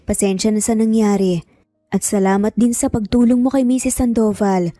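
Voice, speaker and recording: An authoritative young professional gentleman, played by a female narrator in a romance audio story. He speaks firmly and with formal courtesy, in a mellow tone on a dry recording. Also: mid-range voice, fairly even intonation, moderate pace, no room sound, faint music behind, natural voice. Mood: firm, courteous. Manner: firm, formal, Taglish.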